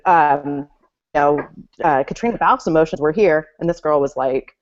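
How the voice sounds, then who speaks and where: A woman with a somewhat low voice rambles through a casual chat over a video call. The sound is dull and lo-fi, typical of web call audio. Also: moderate pace.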